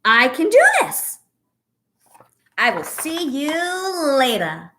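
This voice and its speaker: Slow, expressive woman reading to children. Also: high-pitched, noisy background. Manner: instructive, encouraging, addressing young children directly. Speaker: teacher reading a picture book aloud. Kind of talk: children's read-aloud with guided breathing exercise.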